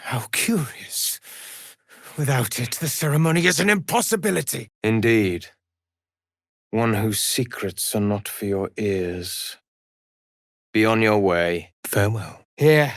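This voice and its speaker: An actor playing a mysterious, brooding male avenger in a video game, speaking in grave, measured, formal phrases with a gravelly, hushed edge. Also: lowish voice, expressive, dry recording, studio quality. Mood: cryptic, solemn. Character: mysterious, brooding male avenger who hunts and torments murderers. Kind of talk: dramatic video game voice acting.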